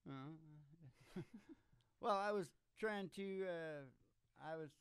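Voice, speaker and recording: An older man hosting in casual banter, rambling and halting, often trailing off, speaking close into a stage microphone. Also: high-pitched, smooth, no room sound. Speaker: podcast host and country singer-songwriter. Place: small curtained studio stage. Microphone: stand microphone up close.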